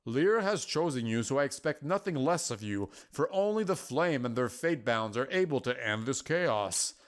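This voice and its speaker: A man's voice: mid-range voice, expressive, natural voice. Man voicing a solemn, mystical prophecy keeper. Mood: firm, reassuring. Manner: measured, formal, archaic phrasing. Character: Lazarus, solemn mystical male prophecy keeper, patient and cryptic.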